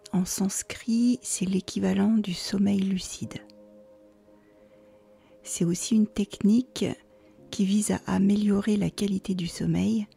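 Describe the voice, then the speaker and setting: A woman leads a guided relaxation in a soft, near-whispered voice. Her delivery is very slow and calm, with a mellow tone and a creaky edge. She gives soothing instructions with unhurried pauses. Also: mid-range voice. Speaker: yoga nidra guide. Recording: faint music behind the voice.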